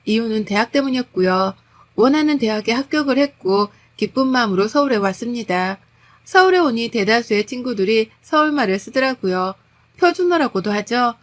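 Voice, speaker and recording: A woman's voice: fairly high pitch, lively intonation, a little fast. A woman reading a scripted first-person story as its narrator.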